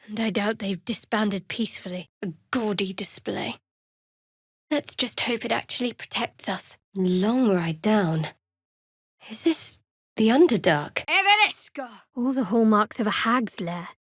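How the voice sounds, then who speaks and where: A woman voicing a guarded, sardonic young cleric of a dark goddess in a video game, with dry, clipped, wry delivery. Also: on the high side, dry recording. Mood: forceful incanting. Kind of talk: video game character dialogue.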